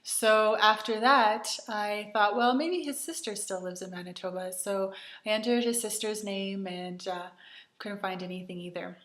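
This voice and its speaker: Woman, thirties, mid-pitched, earnest chatty storyteller with lots of ums, recorded in an echoey room. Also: steady tone, normal speed, fairly bright tone. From Canada. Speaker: retreat host. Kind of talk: personal storytelling to camera.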